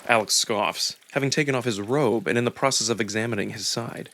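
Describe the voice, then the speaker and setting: A man reading a visual novel aloud as narrator, in an expressive, deliberate first-person storytelling style. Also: lowish voice, slight background noise.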